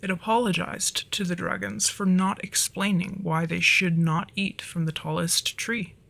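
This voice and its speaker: Performer of unclear sex as a soft, creaky-voiced dragon storyteller. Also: mid-range voice, fairly even intonation, unhurried, no echo. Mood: gentle, storytelling. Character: flirty, easily flustered dragon storyteller. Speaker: storyteller in an audio roleplay. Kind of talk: ASMR roleplay, soft storytelling.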